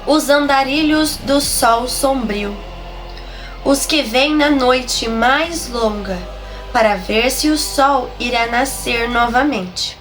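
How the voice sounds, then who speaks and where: A woman with a Brazilian accent voicing a weak but lucid, frightened old grandmother in a told horror story, her voice nasal and a little hoarse from a flu. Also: sits high, takes it slowly, over music, low-quality recording. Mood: fearful hush. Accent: Brazilian Portuguese.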